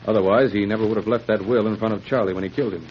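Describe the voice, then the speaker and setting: A calm and resolute Mounted Police sergeant, played by a male actor, speaking in measured, firm lines. It is vintage radio drama, muffled and with some noise. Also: lowish voice, lightly acted. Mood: reassuring. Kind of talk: old-time radio drama acting.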